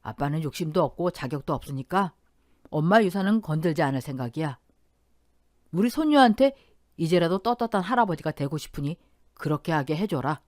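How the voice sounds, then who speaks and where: A woman's voice: sits low, lively intonation, a little fast, darkish tone, dry recording, clean studio sound, voice pushed down. A woman voicing a grieving older father who speaks in a subdued, apologetic way. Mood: remorseful.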